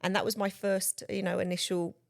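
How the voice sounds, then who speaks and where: A woman in her forties from Bristol with an English accent, a guest chatting with high energy on a podcast. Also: little pitch movement, a little fast, gravelly. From Bristol, England. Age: 40s. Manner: upbeat, high-energy, laughs often. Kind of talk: casual interview chat.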